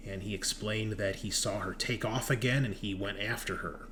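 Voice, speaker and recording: A man's voice: fairly low pitch, fairly bright tone. A man acting as game master, recapping a tabletop horror game in a dramatic, emphatic storytelling manner. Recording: noticeable background noise.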